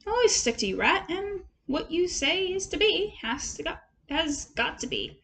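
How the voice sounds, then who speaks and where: A woman voicing a loyal, timid male mole in a storybook, gentle and a little hesitant. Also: very high-pitched, big pitch swings, moderate pace, pitched above the performer's own voice, heavily acted. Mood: loyal, wistful. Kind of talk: storybook character voice.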